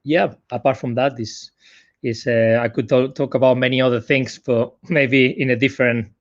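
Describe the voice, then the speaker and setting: A man's voice: medium pitch, fairly even intonation, moderate pace, lo-fi sound. A man with a Spanish accent, guest on a live stream, talking casually from his sofa at home, hesitant with many fillers. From Spain. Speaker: guest digital marketer.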